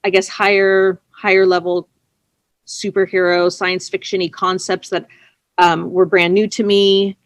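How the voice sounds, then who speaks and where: A woman being interviewed, telling stories casually in a chatty, expressive and self-effacing way. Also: on the low side, measured pace, no room sound. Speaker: interview guest, audio drama writer. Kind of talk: casual conversational storytelling.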